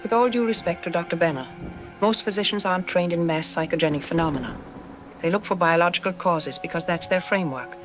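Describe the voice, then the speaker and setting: A woman's voice: lowish voice, fast talker, natural voice. Actress as confident female psychologist over music. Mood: clinical, dismissive. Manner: didactic, confident, articulate. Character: self-assured female psychologist, calmly dismissive expert guest. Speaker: expert interview guest. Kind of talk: radio drama interview, measured explanatory delivery. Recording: music playing under the voice. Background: background music.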